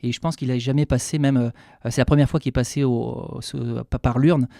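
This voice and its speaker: A man's voice: mid-range voice, steady tone, fast, vocal fry, warm tone, dry recording, clean studio sound. A man from France with a French accent, a chef answering radio interview questions candidly, with many hesitations and restarts.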